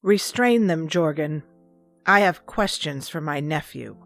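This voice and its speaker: A woman's voice: low-pitched, lively intonation, a little slow, vocal fry, soft background music, full character voice. A woman playing a vengeful female Sith military commander in an audio drama, cold and imperious.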